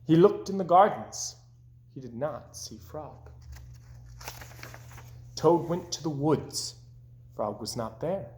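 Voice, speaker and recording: Slow, expressive man reading a storybook. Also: a little high. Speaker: storybook reader. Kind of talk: expressive children's read-aloud narration.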